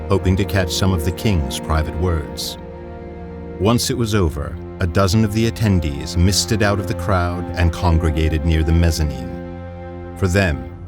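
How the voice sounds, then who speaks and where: A man's voice: low voice, lightly acted. A man narrating a scripted science-fiction audio drama as its storyteller.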